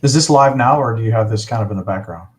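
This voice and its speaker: Low-voiced enthusiastic male stream host. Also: fast, darkish tone. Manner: rambling, enthusiastic, many fillers. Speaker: livestream host. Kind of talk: casual livestream chat.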